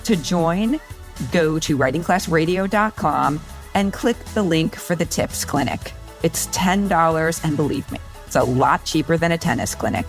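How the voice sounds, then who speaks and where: Lower-pitched middle-aged woman reading a promo. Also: normal speed, soft background music. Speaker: podcast promo presenter. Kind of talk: scripted promo read.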